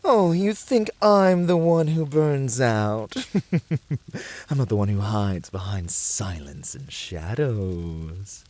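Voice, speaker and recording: A man playing a flirty, fiery incubus servant in a scripted ASMR roleplay, teasing with theatrical, drawn-out delivery. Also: lowish voice, animated, darkish tone, no room sound. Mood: taunting.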